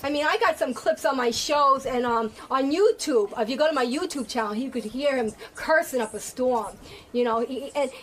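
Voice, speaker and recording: Brassy, blunt middle-aged New woman guest with a loud, high voice, talking fast over faint noise. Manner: brassy, blunt, swears freely. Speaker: guest, internet pet show host. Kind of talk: casual radio chat.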